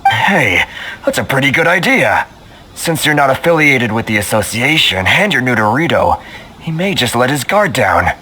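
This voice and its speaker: An actor playing a worried, resigned foreign merchant in a video game, with a measured, plaintive delivery. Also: male voice, mid-range voice, big pitch swings, pushed and bright, slight background noise. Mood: hopeful, helpful. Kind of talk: video game dialogue acting. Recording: game music behind.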